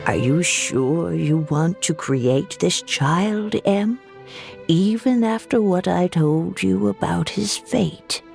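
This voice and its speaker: A woman voicing a wise, motherly sorceress in a fantasy anime game, solemn, measured and hushed. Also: fairly low pitch, animated, gravelly, music in the background, exaggerated voice. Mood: grave, cautioning.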